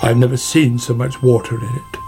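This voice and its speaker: A man's voice: mid-range voice, level tone, fairly quick, over music, close to an everyday voice. A man voicing a chatty, mildly fretful otter in a children's animal story. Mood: worried.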